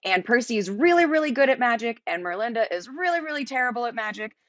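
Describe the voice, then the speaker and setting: A woman narrating a children's fantasy book aloud as its author, in an expressive storytelling style. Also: on the high side, fast talker, no room sound, highs cut off. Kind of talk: animated children's audiobook narration.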